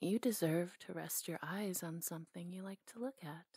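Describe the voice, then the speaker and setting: A woman playing a flirty, tender salon owner with a crush, speaking softly and intimately in an ASMR romantic roleplay, teasing, pausing and sometimes near a whisper. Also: fairly low pitch, no echo, studio quality. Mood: playful, affectionate. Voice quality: soft, breathy, sometimes whispery. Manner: teasing, hesitant pauses, intimate.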